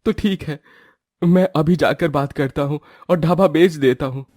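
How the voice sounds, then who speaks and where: A man's voice: a little high, normal speed, darkish tone, lightly acted. A man voicing a worried aging village husband. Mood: earnest. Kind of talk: animated story dubbing.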